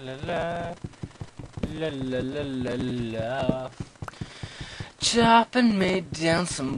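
A young man giving goofy, self-mocking commentary while playing a game, yelling at monsters and talking to himself. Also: a little high. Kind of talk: let's play commentary. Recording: noisy home recording.

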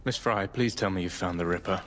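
A man's voice: low-pitched, brisk, noisy background, lightly acted. A man playing a stern, weary Victorian police inspector in a video game, grave and formal. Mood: stern, exasperated. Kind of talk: video game cutscene acting. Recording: music behind the voice.

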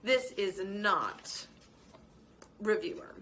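A woman's voice: mid-range voice, drawn-out words, a bit bright, slight background noise. A woman voicing a fussy, overly polite male lizard who works as a hotel clerk, speaking formally and eagerly.

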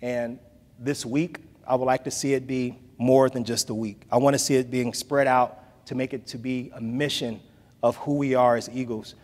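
A man's voice: mid-range voice, darkish tone. A man in his forties giving a conversational keynote speech from a podium in a large hall, repeating phrases for emphasis. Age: 40s. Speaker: keynote speaker. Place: large hall with bookshelves, audience seated. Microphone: podium mic, filmed from the back of the room. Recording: long hall reverb.

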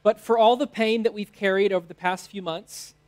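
A man's voice: high-pitched, steady tone, normal speed. A man in his thirties with an American accent, a pastor giving a sermon in short phrases. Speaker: teaching pastor. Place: church stage, indoors.

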